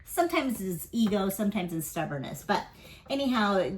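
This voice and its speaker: A woman's voice: mid-range voice, expressive, moderate pace, low-quality recording. Candid, rambling woman reading horoscopes. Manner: candid and rambling, heavy fillers, casual swearing. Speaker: tarot and astrology reader. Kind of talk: casual spoken weekly horoscope reading.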